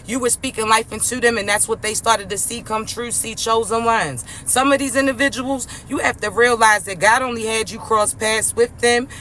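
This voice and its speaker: A speaker with a raspy voice giving an emphatic motivational spiritual message to viewers, often checking in with the listener. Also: mid-range voice, fairly even intonation, moderate pace, few pauses, pushed and bright, slight background noise. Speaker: spiritual message content creator.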